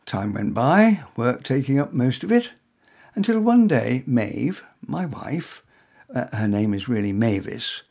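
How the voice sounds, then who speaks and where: A man's voice: medium pitch, big pitch swings, unhurried, a little mellow. A middle-aged man reading his own short story in the first person, in a dry, wry, conversational way, full of asides and hesitations.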